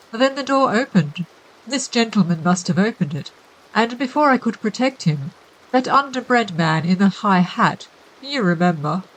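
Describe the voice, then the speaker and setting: A woman's voice: mid-range voice, low-quality recording. A woman voicing a respectable male paper creature come to life, speaking with a nasal, stuffed-up sound, odd R's and formal politeness. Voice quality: nasal, as if from a heavy cold.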